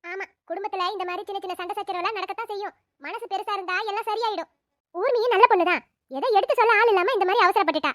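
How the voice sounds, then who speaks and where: A woman voicing a firm, bossy older neighbour who loudly reassures the family. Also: very high voice, steady tone, very fast, no echo, studio quality. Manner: loud, reassuring, commanding.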